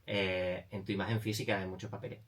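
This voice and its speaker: A man's voice: low voice, flat delivery, quick pace. A man with an accent from Spain hosting a casual entertainment interview in a jokey, giggly manner. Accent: Spanish (Spain). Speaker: radio show interviewer.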